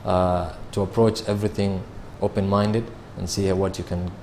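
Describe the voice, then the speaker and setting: A man, answering interview questions about his sales job in a measured way, with frequent fillers and restarts. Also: deep voice, flat delivery, darkish tone, a little hiss or hum. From Kenya. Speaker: sales manager.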